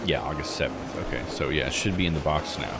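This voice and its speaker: A man's voice: a bass voice, expressive, quick pace, gravelly, heavy background noise. A man giving casual game commentary, thinking aloud in a musing, tentative way as he plays a visual novel. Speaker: streamer playing a visual novel.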